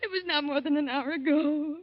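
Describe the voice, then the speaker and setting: An old, muffled 1940s radio recording. An actress performs a guilt-ridden woman with a high voice, distraught and close to breaking. She pleads tearfully in a melodramatic manner. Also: a little fast, lightly acted. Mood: tearful, desperate. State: tearful, crying. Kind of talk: 1940s radio drama acting.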